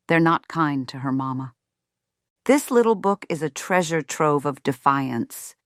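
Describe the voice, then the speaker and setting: A woman's voice: low voice, no echo, studio quality. A woman playing a strict, self-righteous middle-aged mother in a scripted roleplay, speaking to viewers with folksy, sugary condescension. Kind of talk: scripted ASMR roleplay monologue.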